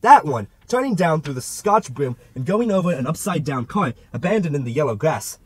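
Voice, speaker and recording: A man's voice: on the high side, sing-song, brisk, breathy edge, dry recording. A man narrating a literary novel as an amateur audiobook reader, with a theatrical delivery.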